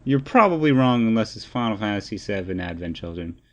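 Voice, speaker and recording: A man's voice: fairly low pitch, expressive, a little fast, highs cut off, over a noisy crowd. A man co-hosting a podcast in casual, opinionated discussion, rambling and repeating phrases as he thinks aloud. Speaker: podcast co-host.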